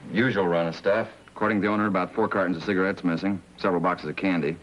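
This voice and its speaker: A man's voice: low voice, fairly even intonation, very fast, natural voice. An actor playing a methodical American police lab man, terse and matter-of-fact in 1950s drama style. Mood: businesslike. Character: brisk, methodical police crime lab technician, male. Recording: muffled, noisy 1950s TV soundtrack.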